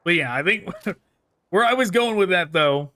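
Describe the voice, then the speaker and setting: A man's voice: high-pitched, lively intonation, measured pace, bright, forceful tone, slight background noise. A man giving casual, rambling gameplay commentary as a video game streamer. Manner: rambling, musing.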